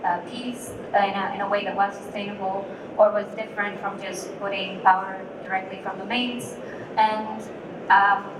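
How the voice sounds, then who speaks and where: A woman from Venezuela speaking as a lighting designer on a conference panel. She tells her story in a measured, personal way. Her voice sounds distant and echoey, with noise in the background. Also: medium pitch. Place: conference hall. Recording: echoey hall, distant mic, noisy.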